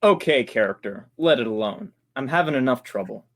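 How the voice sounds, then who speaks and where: Casting: male actor as insecure working radio player, medium pitch, eager for approval, vintage drama. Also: lively intonation, brisk. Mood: testy, worried. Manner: unsure, seeking approval. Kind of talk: naturalistic old-time radio drama acting.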